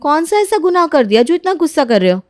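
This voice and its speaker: A woman's voice: high-pitched, very animated, fast talker, a little mellow, pitched above the performer's own voice. A woman voicing an insolent, scheming young daughter-in-law in a moral story, sarcastic and defiant in manner. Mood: indignant, accusing.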